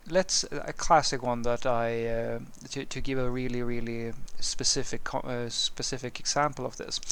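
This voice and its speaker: A man from Sweden, a native Swedish speaker, talks through a programming question off the cuff. His voice has a gravelly, creaky edge and sits at an ordinary middle pitch. He rambles, restarts his sentences and piles up fillers as he thinks aloud. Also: fairly bright tone. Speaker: software developer answering a viewer question. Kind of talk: casual unscripted monologue.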